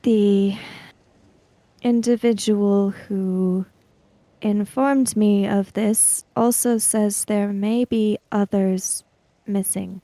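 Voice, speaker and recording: A woman playing a tabletop roleplaying game, speaking improvised dialogue in a measured, deliberate way with halting phrases. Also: medium pitch, steady tone, warm tone, no echo.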